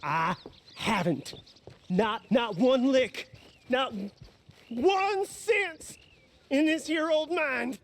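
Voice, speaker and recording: A jittery, grateful frontier fellow from a game. His voice is high, and he rambles and stammers slowly over outdoor noise. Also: lively intonation, full character voice. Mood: nervous. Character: nervous, grateful, bumbling frontier man. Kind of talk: cinematic video game acting.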